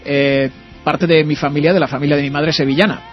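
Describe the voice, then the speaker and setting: A man's voice: a little high, fairly even intonation, quick pace, lo-fi sound, faint music behind. A man with an accent from Spain hosting a live radio show, chatting in an effusive, complimentary way. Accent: Spanish from Spain. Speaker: radio host. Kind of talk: live radio interview, warm chat with guest. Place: radio studio.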